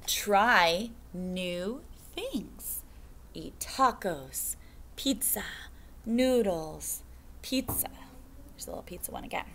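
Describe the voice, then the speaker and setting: A woman in her thirties, a teacher, reading a picture book aloud to young children in a classroom with a slow, sing-song storytime delivery. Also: medium pitch, sing-song, fairly bright tone, slight background noise. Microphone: webcam at desk.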